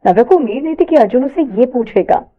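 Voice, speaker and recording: A woman reading a scripted story aloud as an expressive narrator. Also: high-pitched, quick pace, narrow, tinny audio.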